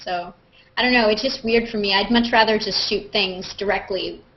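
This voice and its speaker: A woman's voice: fairly even intonation, normal speed. A woman chatting casually and jokingly as the host of a group video hangout. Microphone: video call. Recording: muffled low-fidelity video call audio.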